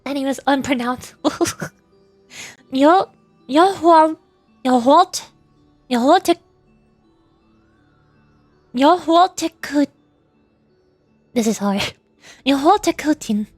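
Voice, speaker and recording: Woman game streamer, high voice, casual and puzzled running commentary over soft music. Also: animated, slow, pauses often, no room sound. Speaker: vtuber streamer. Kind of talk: casual game livestream reacting. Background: video game music.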